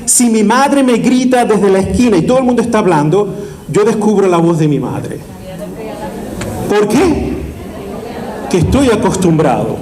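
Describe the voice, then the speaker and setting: A priest preaching a sermon, asking the audience questions and repeating key phrases for emphasis. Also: male voice, high voice, darkish tone, low-quality recording.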